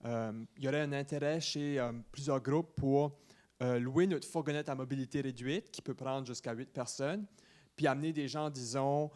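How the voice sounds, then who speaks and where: Young man, Acadian New Brunswick French accent, medium pitch, informal meeting presentation, hesitant phrasing. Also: steady tone, darkish tone. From Saint-Louis-de-Kent, New Brunswick, Canada. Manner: hesitant, pausing often mid-phrase. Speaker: student presenter to a municipal council.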